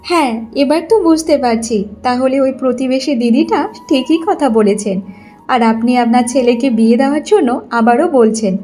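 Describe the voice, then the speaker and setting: A woman's voice: sits high, moderate pace, mellow, faint music behind. A woman playing a wronged young housemaid wife in a cartoon story, emotional and pleading, tearful yet defiant. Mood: indignant. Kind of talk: Bengali cartoon story acting.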